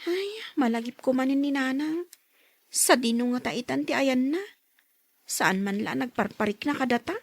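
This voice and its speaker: A woman's voice: high voice, normal speed, gravelly. A woman playing a dutiful, affectionate young schoolgirl daughter in a radio drama. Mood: hurt, questioning. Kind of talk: Ilocano radio drama acting.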